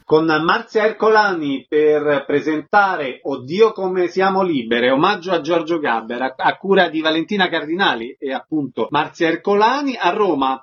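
A man's voice: a little high, a bit bright, slightly rough. A man hosting a radio arts programme, reading listings and putting questions to a guest. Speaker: radio host. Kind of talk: radio arts announcements and interview.

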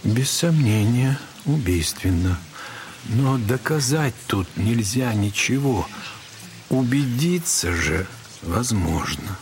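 An actor playing a gentle, wise old monastery elder in a Russian audio drama, speaking in a measured, consoling way. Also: male voice, mid-range voice, expressive, background noise. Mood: calm, earnest. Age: older.

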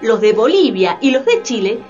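A woman's voice: fairly high pitch, sing-song, normal speed, a little mellow. A woman playing a fervent, indignant accuser in a radio play, emphatic and declamatory. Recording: dull lo-fi, faint music behind.

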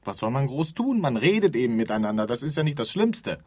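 An actor playing an offhand, forgetful keeper of an empty afterlife in a radio drama, rambling and groping for words. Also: male voice, mid-range voice, big pitch swings, a little fast, a little mellow, no room sound. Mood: offhand, explaining. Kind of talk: theatrical radio drama dialogue.

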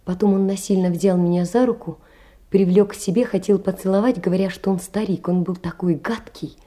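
An actress in an audio drama playing a distressed but proud young poor woman, pleading earnestly in a soft, hushed voice. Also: mid-range voice, steady tone, brisk, natural voice. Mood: shaken recounting. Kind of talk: theatrical audio drama letter monologue.

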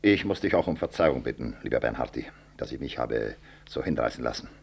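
An actor playing an outspoken, hot-tempered medical professor in a 1960s German radio drama, raspy and rhetorical. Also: male voice, rumbling low, lively intonation, fast, exaggerated voice. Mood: bitter, subdued. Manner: emphatic, rhetorical.

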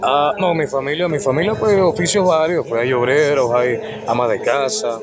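A man in his twenties from Venezuela, a street singer answering interview questions in a relaxed, halting way with a low, slightly hoarse voice. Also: a crowd in the background. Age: 20s. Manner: halting, restarts and fillers, relaxed.

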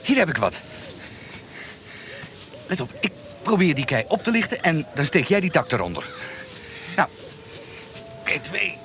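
An actor playing a roguish, amorous and sceptical travelling companion in a radio drama, with a wry, questioning manner. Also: male voice, on the high side, sing-song, moderate pace, long pauses, fairly bright tone, slight background noise. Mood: straining, urgent.

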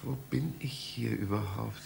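A man acting in a German radio play as a bewildered, injured visitor lost in a strange library, muttering and halting. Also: on the low side, creaky, darkish tone, a little hiss or hum. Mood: dazed, pained.

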